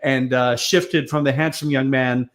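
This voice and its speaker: A man's voice: mid-range voice, steady tone, unhurried. An American man talking casually as a knowledgeable guest commentator, wry and often stammering as he restarts his phrases. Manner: hesitant, stammering, wryly knowledgeable. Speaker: guest commentator on classic film and radio. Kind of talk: casual discussion.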